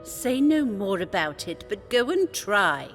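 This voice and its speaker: Casting: actress as demanding, ambitious wife, slow imperious delivery with big pitch swings, fairy tale drama. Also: female voice, sing-song, soft background music. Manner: imperious, insistent. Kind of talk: full cast audio fairy tale drama. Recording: long hall reverb.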